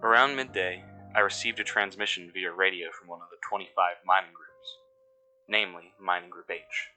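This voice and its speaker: A low-pitched, uneasy and cynical excavation worker , played by a man, narrates a mystery audio log. The delivery is measured and brooding, pausing mid-sentence. Music plays behind the voice. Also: steady tone, sharp tone, rough recording. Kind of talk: scripted first-person mystery narration.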